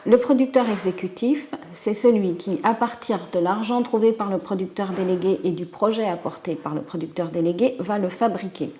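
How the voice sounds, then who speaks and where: A woman in an interview, calmly explaining how animation production is organized. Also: medium pitch, a little hiss or hum. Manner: measured, clear explaining.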